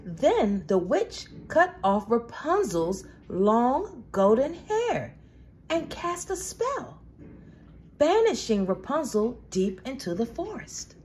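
High, lively woman reading a storybook slowly. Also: a little hiss or hum. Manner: dramatic, deliberate phrasing for children. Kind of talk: expressive children's storybook read-aloud.

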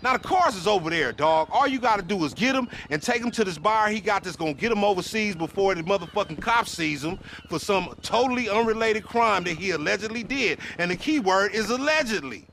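A young American gangster in a game cutscene, all swagger and wheedling slang. His voice sits high and leaps around with lively intonation. There is faint noise behind him. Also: a little fast, few pauses. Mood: swaggering, reassuring. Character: fast-talking boastful young gangster hustler, cajoling his friend. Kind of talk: animated video game cutscene dialogue in street slang.

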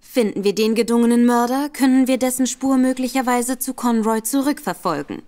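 A woman's voice: a little high, a little fast, a little mellow, natural voice. A woman playing a poised, persuasive woman detective in a radio drama. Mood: determined. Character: sharp, determined woman investigator.

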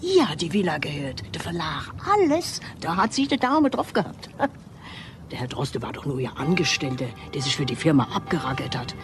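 Gossipy housekeeper for radio crime drama: low, very animated, confiding, indignant, slipping into whispers. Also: female voice, normal speed, over music. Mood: indignant gossip. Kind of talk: German crime radio play acting. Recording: noisy radio play recording.

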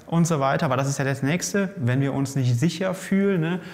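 A male host in casual expert conversation, lively and explaining, often restarting mid-sentence, in a bike fitting lab. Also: a little high, brisk. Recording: long reverb in a lab room.

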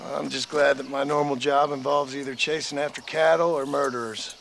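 An American actor voicing a gruff, dry-witted cowboy gunslinger in a western video game, deadpan and curt. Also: male voice, mid-range voice, fairly quick, a little hiss or hum. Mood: dry, unimpressed.

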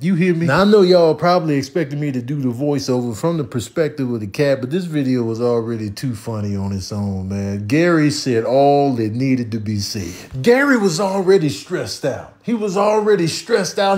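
A man's voice: medium pitch, darkish tone. A man with an American accent doing comic voiceover commentary in a theatrical, exasperated way full of inventive slang.

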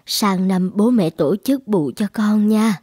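A woman voicing a slow, husky frail mother. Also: mid-range voice, warm tone, no room sound, clean studio sound. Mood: tender. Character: frail, recovering young mother. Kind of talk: cartoon dubbing.

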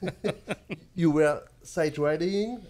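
Mellow dark-voiced guy, cohost, short friendly cut-ins, studio mic. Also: fairly high pitch, slow pace. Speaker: podcast co-host. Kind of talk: casual interview. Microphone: studio mic on stand, headphones.

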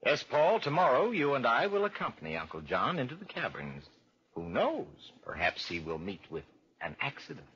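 A man's voice: mid-range voice, huge pitch swings, normal speed, noisy background. A man playing a calculating, falsely polite murderous nephew in a 1940s radio drama. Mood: scheming. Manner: calculating, ingratiating. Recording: dull 1940s radio recording.

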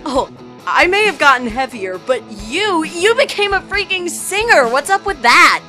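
A woman's voice: high voice, sing-song, normal speed, pushed and bright, soft background music, heavily acted. A woman playing a cynical, emotional teenage schoolgirl in an amateur online radio drama. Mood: earnest. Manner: emotional, indignant, emphatic. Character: cynical, defiant teenage schoolgirl, secretly a model.

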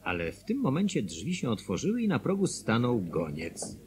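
A man narrating a Polish radio play in a dramatic, scripted storytelling style with a husky voice. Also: medium pitch, wildly swooping pitch, normal speed, a little mellow, slight background noise.

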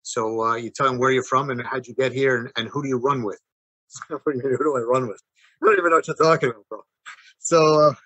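A middle-aged man from New Jersey, an interview guest telling a personal story casually, halting and repeating words. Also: medium pitch, bright, forceful tone, no echo, poor audio. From New Jersey, United States. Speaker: interview guest, former prisoner. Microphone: video call.

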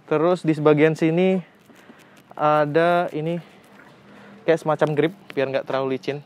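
A man's voice: fairly high pitch, fairly even intonation, moderate pace, darkish tone. A young adult man giving a casual hands-on motorcycle review, speaking in a colloquial, slangy and exclamatory way. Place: outdoors on a residential street. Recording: outdoor phone recording with background noise.

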